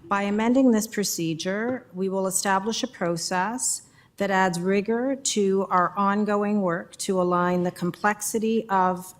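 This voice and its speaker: A woman director introducing a report at a school board meeting in a measured, formal way, reading prepared remarks. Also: mid-range voice.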